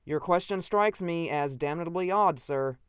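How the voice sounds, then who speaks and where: A man's voice: fairly high pitch, a little slow, smooth, dry recording, clean studio sound, voice pushed up, close to an everyday voice. A man playing a formal officer of the future, authoritative and clipped. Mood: puzzled, impatient.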